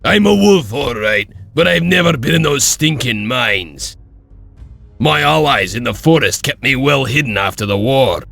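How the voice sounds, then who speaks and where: An actor playing a gruff, bold and vengeful wild warrior in a video game, with a gravelly, raspy voice. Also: male voice, mid-range voice, animated, a little slow, a bit bright, no room sound, soft background music, exaggerated voice. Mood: gleeful menace.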